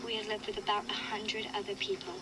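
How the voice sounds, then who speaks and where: An actress playing a wistful young girl survivor, narrating a reflective, measured audio diary for a video game. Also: mid-range voice, completely flat delivery, heavy background noise, close to an everyday voice. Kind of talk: scripted first-person audio diary narration.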